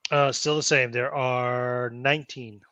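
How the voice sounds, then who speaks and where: Brisk, bright-voiced male game master. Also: medium pitch, steady tone, smooth, muffled recording. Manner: matter-of-fact, brisk rulings. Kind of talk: running a tabletop game.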